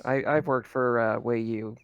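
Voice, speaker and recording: A player of middling pitch voicing a cocky, prickly young tech worker for hire in a casual, brash manner. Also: fairly even intonation, measured pace, no echo, muffled recording, lightly acted.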